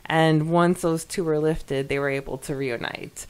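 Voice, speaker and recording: A woman with a slightly low-pitched voice co-hosts a podcast. She reads listener comments and chats casually, with an expressive, giggly manner and frequent exclamations. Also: unhurried, clear, resonant tone. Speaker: podcast co-host.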